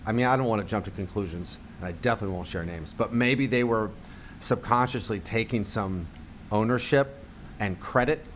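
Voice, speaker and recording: A man's voice: fairly low pitch, brisk, slight background noise. A man from the United States, a guest on a casual podcast, talking in a rambling, self-deprecating way with lots of fillers and restarts. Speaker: guest, percussion company representative.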